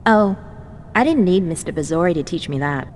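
A woman's voice: on the low side, animated, a little fast, darkish tone, in a large hall, slight background noise, close to an everyday voice. A woman playing a poised, ambitious researcher in a video game, polite, composed and sure of herself. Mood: composed, confident. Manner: polite, composed, self-certain.

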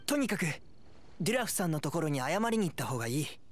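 Animated, scolding young hunter. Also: male voice, on the high side, fast, background noise. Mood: stern advice. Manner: reproving, principled. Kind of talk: anime game voice acting.

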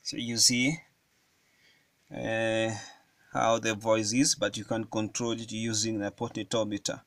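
A man giving a hands-on electronics tutorial at a table, explaining each step slowly with frequent fillers. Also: fairly low pitch, level tone, a bit bright, dry recording. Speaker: electronics hobbyist demonstrating a circuit. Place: indoors at a wooden table.

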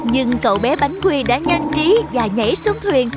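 A woman's voice: sits high, big pitch swings, normal speed, loud music behind the voice. A woman narrating a scripted children's story as its storyteller.

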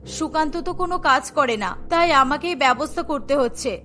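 Woman acting a troubled poor mother, lower-toned. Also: lively intonation, normal speed, fairly bright tone, faint music behind. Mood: pleading. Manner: humble, worried, pleading. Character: poor, anxious, pleading working mother. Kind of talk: cartoon dialogue.

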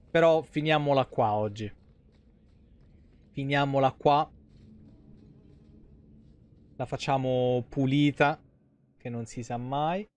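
A man's voice: medium pitch, clear, resonant tone. A young man doing casual, slangy live game commentary with some swearing. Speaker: game streamer. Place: small indoor room, webcam stream.

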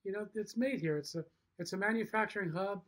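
High-pitched middle-aged man, emphatic. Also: fast, a bit bright. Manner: emphatic, short punchy sentences. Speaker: factory owner and show host. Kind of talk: promotional video message to camera. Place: indoor room with wood-block walls.

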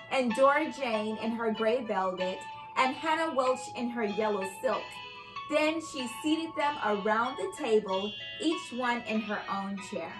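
Young woman reading slowly, high-pitched. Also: poor audio. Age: young adult. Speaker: host and reader of children's read-aloud show. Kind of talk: expressive storybook read-aloud for children. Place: indoor living room by a fireplace.

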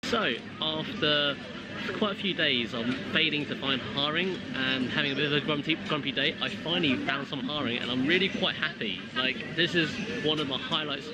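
A man's voice: a little high, normal speed. A man, giving a casual travel vlog in a hesitant, rambling way with repeated words. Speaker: travel vlogger. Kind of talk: casual vlog chat to camera. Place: busy food market and cafes. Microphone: camera at arm's length. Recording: dull audio, loud public background noise.

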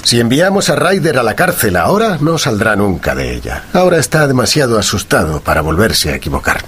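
An actor with a Castilian Spanish accent playing a shrewd, composed gentleman detective in a radio drama, formal and authoritative. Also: medium pitch, very animated, brisk, slight background noise. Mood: reflective, assured.

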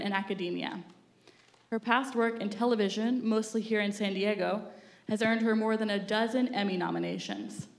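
A woman at a podium reading formal speaker introductions on a theater stage. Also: mid-range voice. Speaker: event host introducing speakers. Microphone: podium mic. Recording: roomy theater sound.

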